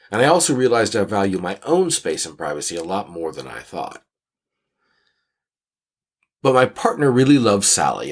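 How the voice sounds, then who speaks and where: A man co-hosting a podcast, speaking in a wry, measured and emphatic way in a casual style. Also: low-pitched, breathy edge. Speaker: podcast co-host. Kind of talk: casual podcast chat and reading of a listener letter.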